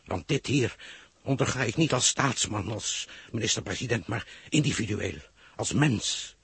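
Very raspy, solemn prime minister. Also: male voice, fairly low pitch, animated, fairly bright tone, no room sound, exaggerated voice. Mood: solemn, moved. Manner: measured, oratorical, grave. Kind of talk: 1960s radio drama acting.

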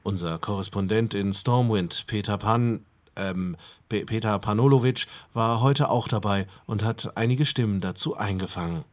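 A man's voice: on the low side, moderate pace, natural voice. A man playing an earnest radio news announcer reading a mock bulletin from a fantasy world. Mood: earnest, official.

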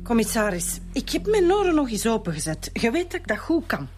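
A woman's voice: a little high, sing-song, fairly quick, soft background music. Chatty, nosy Flemish mother. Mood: pleased, gossipy. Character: chatty, nosy mother, proud amateur snoop. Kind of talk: Flemish radio drama acting.

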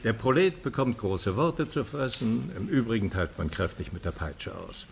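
Gravelly, sardonic old professor. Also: male voice, lowish voice, expressive, moderate pace, a little hiss or hum. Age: older. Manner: lecturing, rhetorical, courtly, ironic. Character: charming, sardonic old male economics professor and dissident. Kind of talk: radio drama acting.

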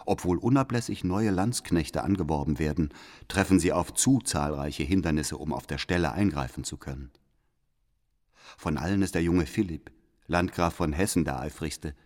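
An actor playing a secretive, pious informant who delivers an urging letter in a measured, solemn, insistent way in an audio drama. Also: male voice, low voice, darkish tone. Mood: solemn, conspiratorial.

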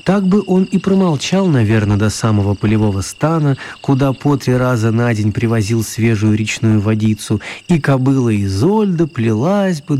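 Mellow male storyteller. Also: medium pitch. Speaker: narrator. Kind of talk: scripted storytelling for a radio play.

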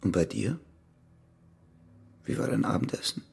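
An actor playing a bitter, jealous ex-convict husband with a terse, sardonic way of talking in a radio crime drama. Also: male voice, on the low side, sing-song, measured pace, a little mellow, full character voice. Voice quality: gravelly, husky.

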